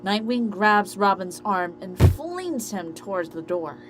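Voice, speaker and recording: A woman's voice: mid-range voice, big pitch swings, unhurried, soft background music. A woman reading scripted narration for an amateur audio drama story.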